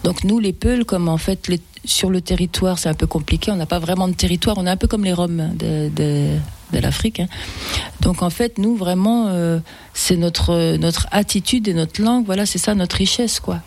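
A woman's voice: fairly low pitch, moderate pace, creaky, a little mellow, no echo. A woman singer, a native Fula speaker, talking in a casual interview and often restarting her words. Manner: hesitant, many restarts and repeated words. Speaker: singer guest.

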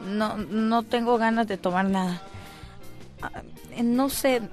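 A woman's voice: a little high, slow, faint music behind. An actress playing a doubtful, nervous teenage girl in a radio drama, hesitant, with a Costa Rican accent.

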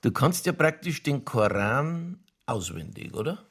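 A raspy Bavarian man, bossy and blunt. He speaks colloquially with an animated, varied melody, handing out orders like someone used to being obeyed. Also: medium pitch, unhurried. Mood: curious. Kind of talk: German radio crime drama acting.

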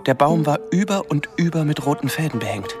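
An actor playing a withdrawn, guilt-ridden young man, recounting his memories haltingly and introspectively in a radio drama monologue. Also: on the high side, expressive, music in the background, close to an everyday voice. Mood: wistful. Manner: slow, halting, introspective delivery in short phrases.

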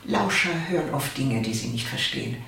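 A woman's voice: extremely low pitch, animated, fairly quick, gravelly, fairly bright tone, heavily acted. An actress playing a dignified, reserved elderly captive lady in a German radio drama. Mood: composed, firm. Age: older. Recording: echoey room, distant, faint noise.

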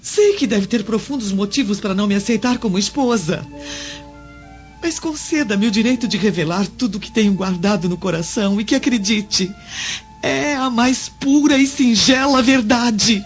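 Woman acting high, gentle, pleading Roman wife. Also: normal speed, natural voice. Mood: pleading, sorrowful. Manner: reverent, pleading, delicate. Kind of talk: radio drama acting. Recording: dull lo-fi radio drama audio. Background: background music.

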